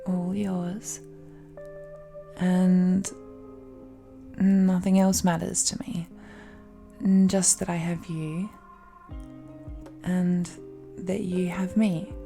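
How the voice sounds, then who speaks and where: A woman's voice: on the low side, little pitch movement, extremely slow, gravelly, long pauses, dark tone, faint music behind, heavily acted. A woman playing an affectionate, possessive dragon-blooded fiancée in an intimate romantic audio roleplay, murmuring tenderly and a little hesitantly. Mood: devoted, possessive.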